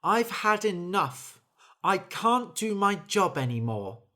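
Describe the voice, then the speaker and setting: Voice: mid-range voice, sing-song, slow, fairly bright tone, pitched above the performer's own voice, full character voice. A reader doing a weary hardworking bird. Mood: fed up. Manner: earnest, complaining. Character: hardworking female bird, tired and fed up.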